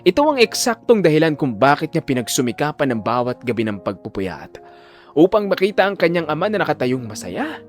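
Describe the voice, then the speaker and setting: A man delivering solemn, emphatic scripted narration for a dramatic audio story. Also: on the high side.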